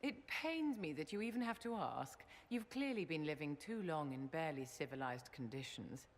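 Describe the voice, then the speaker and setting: An elegant high-society lady from a fantasy game. Her low voice is genteel and haughty, with expressive, sweeping intonation and an indulgent air. Faint noise sits behind it. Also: moderate pace. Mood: breezy, indulgent. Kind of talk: video game cutscene acting.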